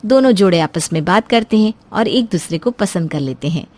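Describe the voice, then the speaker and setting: A woman's voice: fairly low pitch, expressive, normal speed, a little mellow. A woman narrating a scripted family moral story as its storyteller.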